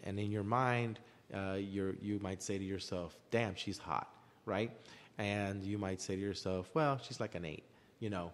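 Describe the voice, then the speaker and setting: Low-voiced man in a long, echoing reverb. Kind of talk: opinionated discussion, explaining at length.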